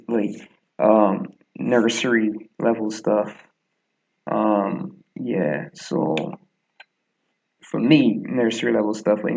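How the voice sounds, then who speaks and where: A young Barbadian man with a gravelly, creaky edge to his mid-pitched voice, musing aloud while he games. He drifts between thoughts, peppering them with ums and soft chuckles. The sound is a bit dull and lo-fi. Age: 20s. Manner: meandering, filler-heavy, chuckling asides. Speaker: gaming vlogger. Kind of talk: rambling casual commentary while gaming. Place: small room at home, echoey.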